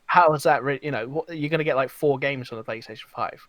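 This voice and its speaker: A man's voice: medium pitch, fast talker, fairly bright tone, dry recording. A man chatting casually as a podcast guest, rambling a little and feeling for his words. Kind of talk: casual chat.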